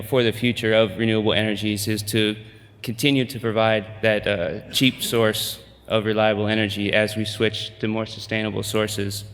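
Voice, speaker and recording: Uomo che parla in sala riverberante. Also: male voice, on the low side, in a large hall. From United States. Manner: hesitant, many fillers and restarts. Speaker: company presenter. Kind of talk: conference pitch presentation.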